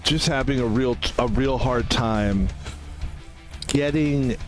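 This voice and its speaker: A man's voice: medium pitch, rough recording. A man streaming a video game, chatting casually and rambling, repeating himself and filling with "like" and "you know". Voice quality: describes his own voice as soft. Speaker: gaming streamer. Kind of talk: casual gameplay commentary.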